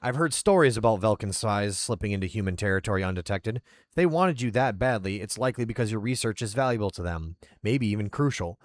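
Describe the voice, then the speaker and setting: A man's voice: fairly low pitch, lively intonation, fast talker, dry recording, studio quality, close to an everyday voice. A man voicing a burly, skeptical police detective in a blunt interrogating tone.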